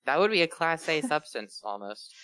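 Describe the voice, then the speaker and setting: A man's voice: fairly high pitch, very animated, moderate pace, bright, forceful tone. A man chatting and joking casually as one of a group reading fan fiction aloud. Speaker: reader on a bad fanfiction show.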